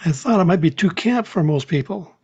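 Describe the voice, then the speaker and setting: An older male radio presenter with a husky, fairly high voice. He talks off the cuff with lively swings in pitch, in slightly muffled audio. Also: brisk. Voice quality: husky, unreliable voice. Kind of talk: unscripted sign-off and chat.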